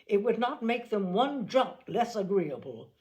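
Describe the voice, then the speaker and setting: A woman voicing an amiable, easygoing, self-deprecating young gentleman in an audiobook, with a cheerful, earnest manner. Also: medium pitch, sing-song, measured pace. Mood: loyal, spirited. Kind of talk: audiobook character acting.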